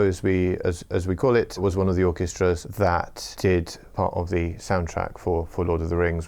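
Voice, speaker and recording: A middle-aged Welsh man giving an interview, talking in a measured, reflective way with careful wording. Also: low-pitched, creaky, darkish tone. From Wales. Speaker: interviewee, former orchestral violinist turned trader.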